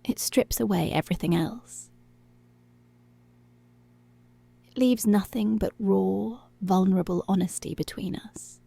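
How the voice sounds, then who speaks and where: Woman acting as seductive commanding policewoman, breathy half-whispered ASMR delivery, slow and deliberate, soft gravelly fry, very close dry sound. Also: medium pitch, dry recording. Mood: intimate, approving. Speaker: ASMR voice actor. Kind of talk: ASMR roleplay, intimate scripted monologue.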